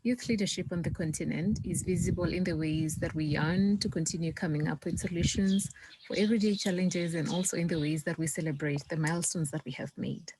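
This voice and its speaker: Woman from Kenya, lowish, calm, faint hiss behind. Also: a little fast.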